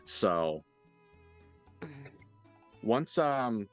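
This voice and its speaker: A man running a tabletop role-playing game as game master, improvising narration and dialogue in a casual, halting, sweary way. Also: on the low side, very animated, a bit bright, soft background music.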